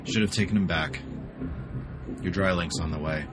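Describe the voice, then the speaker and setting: An actor playing a terse, matter-of-fact police investigator in a science fiction audio drama. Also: male voice, low voice, normal speed, music in the background, natural voice. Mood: curt. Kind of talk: sci-fi audio drama acting.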